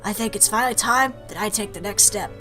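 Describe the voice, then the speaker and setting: A woman's voice: mid-range voice, quick pace, pushed and bright, faint music behind, close to an everyday voice, poor audio. A woman voicing a fierce, blunt female fish warrior from a game who is awkward about love. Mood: earnest. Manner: blunt, brash.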